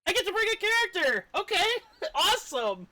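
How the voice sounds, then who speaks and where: A man streams a video game with casual, excitable commentary. His voice swings widely in pitch and sounds bright and forward, with game music playing behind him. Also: moderate pace. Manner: excitable, reacting aloud to the game.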